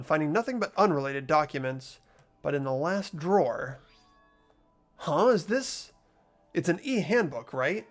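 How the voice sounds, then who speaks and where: A performer voicing an earnest, animated teenage schoolboy. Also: medium pitch. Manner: hesitant, questioning delivery. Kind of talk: fan voice acting of a visual novel game.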